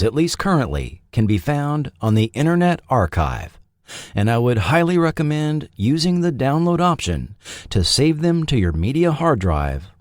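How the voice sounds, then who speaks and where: Guy doing scripted doc narration, takes his time, pitch moves around a lot, really dry close sound. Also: darkish tone, no echo. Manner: dramatic, measured storyteller delivery. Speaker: podcast host and narrator.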